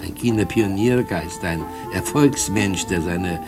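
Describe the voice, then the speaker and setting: Smug low-voiced male blackmailer. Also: unhurried, music in the background. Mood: mocking, threatening. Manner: smug, cajoling, mock-courteous, chuckling. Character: sly sinister male blackmailing drug dealer, mockingly polite. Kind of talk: mid-century German radio drama acting.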